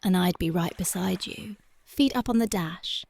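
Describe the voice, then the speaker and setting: A woman voicing a tough but devoted young punk girlfriend in an ASMR roleplay, talking softly and intimately close to the mic, often dropping to a whisper. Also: lowish voice, moderate pace, gravelly. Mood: tender, dreamy. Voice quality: soft, intimate, partly whispered. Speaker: voice actress.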